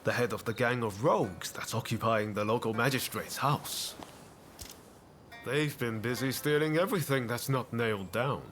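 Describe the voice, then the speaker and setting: A man's voice: medium pitch, normal speed, fairly bright tone, soft background music, close to an everyday voice. An actor playing a jaunty, roguish ronin with big ambitions in a video game cutscene, breezy and playful, drawing out his phrases. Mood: breezy, confident.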